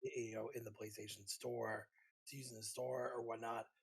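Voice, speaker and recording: Man with a gravelly, husky voice. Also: mid-range voice, level tone, moderate pace. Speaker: podcast co-host. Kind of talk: casual chat about games.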